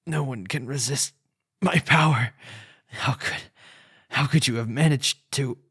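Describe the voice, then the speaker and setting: Man acting a gravelly, domineering vampire lord. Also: medium pitch, a bit bright, no room sound, studio quality. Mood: shocked, desperate. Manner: commanding, drawn-out, menacing. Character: sinister, possessive male vampire lord, domineering and arrogant. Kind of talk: ASMR roleplay acting.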